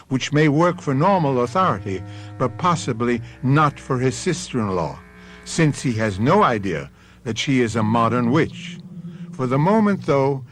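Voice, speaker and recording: A man narrating a radio mystery drama as its host, deliberate, theatrical and wry. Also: medium pitch, a little hiss or hum, close to an everyday voice. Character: measured, ominous male mystery-theater narrator. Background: music.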